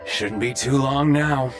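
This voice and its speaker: An actor playing a scheming, smug henchman in a video game, with a theatrical, gloating manner. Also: medium pitch. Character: scheming, smug male henchman loyal to his boss.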